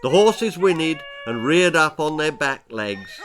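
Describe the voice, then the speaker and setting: A man with an English accent reading a children's story aloud in an expressive, theatrical and deliberate way. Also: fairly high pitch. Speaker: author reading his own story.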